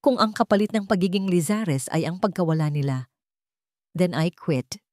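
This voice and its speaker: A woman plays a wealthy son in an audio romance drama, defiant and anguished, with a Filipino accent. His declarations are emphatic and swing widely in pitch. The sound is dry and close. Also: expressive, moderate pace, darkish tone, dry recording, natural voice. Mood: defiant, impassioned.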